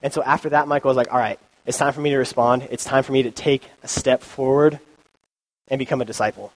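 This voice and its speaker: A young man gives a relaxed youth sermon into a microphone, a little stuffy from a cold. His delivery is informal and conversational, full of fillers and asides. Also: mid-range voice, quick pace, a little hiss or hum, low-quality recording. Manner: informal, chatty, many ums and likes. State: has a cold, sniffly. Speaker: youth pastor. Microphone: handheld or stand mic.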